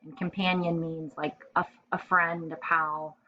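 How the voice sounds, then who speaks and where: A woman with a slightly low voice reads a storybook aloud to young children as their teacher. She is expressive and keeps her wording simple for first graders. The recording is a bit dull, with faint noise behind her. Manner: expressive, pausing to explain words simply.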